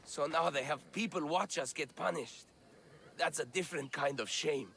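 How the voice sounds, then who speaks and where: A man's voice: fairly high pitch, moderate pace, creaky, a bit bright, a little hiss or hum. An actor playing a weary, bitter male slave with a downcast manner.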